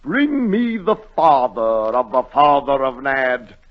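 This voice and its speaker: A man's voice: medium pitch, big pitch swings, slow. An actor playing an imperious, easily outraged king in 1940s radio drama, exclaiming and repeating himself. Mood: exasperated. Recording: dull vintage 1940 radio recording.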